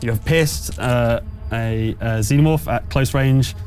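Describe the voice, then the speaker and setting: A man's voice: mid-range voice, measured pace, a little mellow. A man narrating a tabletop horror game as game master, talking players through rules and outcomes in a conversational way. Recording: faint music underneath. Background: music.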